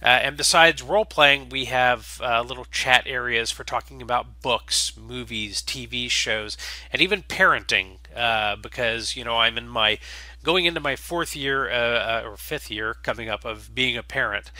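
Bright-voiced man, halting and casual. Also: medium pitch, no room sound, low-quality recording. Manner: halting, with very frequent uhs. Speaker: host and game creator. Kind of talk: casual spoken introduction to an audio log.

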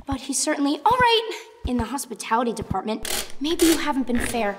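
A woman's voice: sits high, sing-song, brisk, in a large hall, over music. A woman playing a brainy, nervous, sardonic teenage girl inventor in a radio play, wordy and wry. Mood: anxious, sardonic. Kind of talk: radio play monologue and dialogue.

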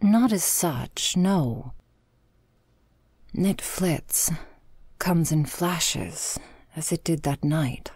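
Woman acting a very slow, breathy vampire mistress. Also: deep voice, expressive, darkish tone, no echo, clean studio sound, full character voice. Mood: weary. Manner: formal, archaic, halting. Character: weary, imperious female vampire mistress. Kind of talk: audio roleplay, gothic drama.

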